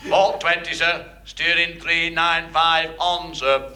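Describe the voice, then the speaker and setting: A dutiful naval helmsman in radio sitcom style. He is slightly high in pitch, formally intoning each order. Heavy noise covers the old recording. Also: fairly even intonation, takes it slowly. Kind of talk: British radio sitcom acting.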